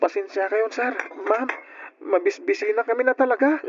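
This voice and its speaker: An actor with an Ilocano accent playing a meek, mistreated orphan of twenty, pleading and plaintive, in old radio drama style. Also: male voice, very high-pitched, normal speed, a crowd in the background. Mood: timid, grateful. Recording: dull, noisy old radio drama recording.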